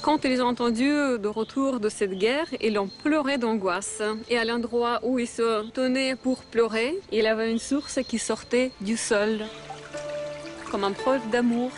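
High-voiced woman storyteller, Ukrainian accent. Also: unhurried, faint music behind. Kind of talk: telling a legend conversationally.

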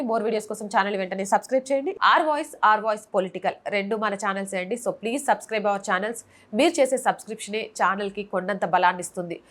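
A woman's voice: medium pitch, a bit bright. A woman journalist reading scripted news narration as a presenter.

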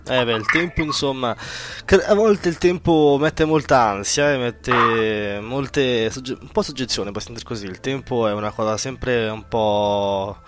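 A man's voice: mid-range voice, measured pace, noisy background. A man with an Italian accent hosting a conversational radio interview in a chatty, agreeable manner. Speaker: radio show host.

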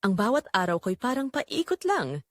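A woman playing a poor, devoted mother burdened by guilt, narrating an audio drama in an earnest, measured, confessional way. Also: mid-range voice, very animated, no room sound, clean studio sound, lightly acted. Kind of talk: scripted dramatic first-person narration.

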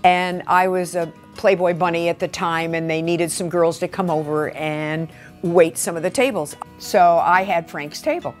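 An American woman in her sixties recounts a personal story in an interview. Her voice is on the low side and she acts out dialogue as she goes, with faint music behind. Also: normal speed. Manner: animated storyteller, quoting dialogue. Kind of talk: personal anecdote in documentary interview. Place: furnished living room. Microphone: lapel microphone.

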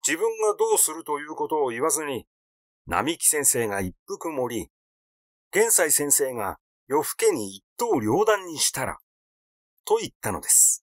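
A man's voice: fairly high pitch, sing-song, moderate pace, no room sound, clean studio sound. A man voicing a flippant, gossiping young man in audiobook dialogue, glib and teasing. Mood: amused, glib.

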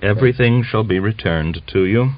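A man's voice: on the low side, moderate pace, no echo, close to an everyday voice. A man voicing a terse, sceptical Cossack commander in an audiobook novel. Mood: reserved. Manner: terse, reserved. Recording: dull lo-fi audiobook recording.

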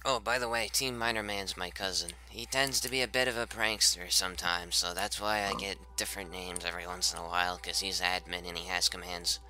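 A man in his twenties chats loosely at his computer, circling back over the same points and sometimes dropping to a half-whisper. His voice sits low but sounds sharp and tense, with a little rasp. A faint hiss of noise and keyboard clicking sit behind him. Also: steady tone, fairly quick, few pauses, poor audio. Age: 20s. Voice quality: slightly raspy. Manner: rambling, repeats himself, trails off into half-whispers. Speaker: Minecraft server owner streaming gameplay. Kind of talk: casual live-stream commentary.

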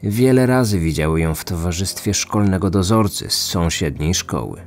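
A man's voice: sits low, animated, normal speed, darkish tone. A man narrating a scripted true-crime documentary as its host.

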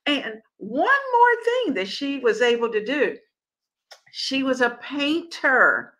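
An older woman hosts a home cooking show and tells a story to her viewers. She speaks slowly and deliberately, with pauses and filler words, in a bright, forceful tone. She is recorded on a webcam in a room at home. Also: high voice, sing-song. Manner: slow, measured storytelling with many pauses and fillers. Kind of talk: casual talk to viewers.

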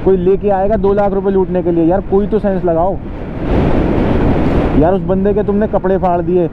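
A man talks in a high, pleading, indignant voice as he rides. The sound is thin and phone-like, captured through a helmet mic with loud road noise. His delivery is casual and colloquial. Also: flat delivery, moderate pace. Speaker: motovlogger. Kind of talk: casual opinion vlog. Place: outdoors, riding a motorbike on a highway. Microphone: helmet-mounted microphone.

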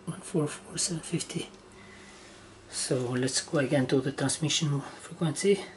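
A man giving a casual, halting step-by-step demonstration of equipment in short phrases, as a hobbyist. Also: on the high side, steady tone. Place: indoor room with wooden surface. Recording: noisy handheld camera recording indoors.

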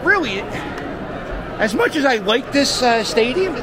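A man's voice: extremely high pitch, sing-song, a little slow. A man in his thirties vlogging from a crowded indoor baseball stadium, joking and heckling as he holds the camera at arm's length. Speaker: vlogger and sports fan. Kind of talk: casual handheld vlog. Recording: loud crowd noise.

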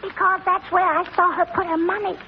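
A woman's voice: very high-pitched, level tone, brisk. A woman voicing a cheeky little girl of three and a half in 1940s radio comedy. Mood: cheeky, innocent. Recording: muffled, hissy old radio broadcast.

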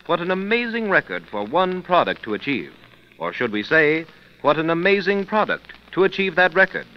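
A male announcer reading a 1930s radio advertisement with an emphatic, polished sales delivery. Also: a little high. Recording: dull 1930s radio recording.

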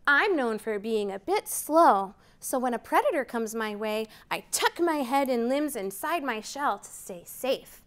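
A woman's voice: on the high side, animated, measured pace, few pauses, fairly bright tone. A woman in her thirties reading a picture book aloud to children in a library room, counting up with big rising calls. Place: library program room, indoors.

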